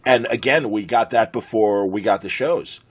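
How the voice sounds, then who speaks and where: A man's voice: lowish voice, normal speed, breathy edge. A middle-aged man with an American accent chatting casually as an interviewer, enthusiastic and given to rambling reminiscence. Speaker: podcast host interviewer.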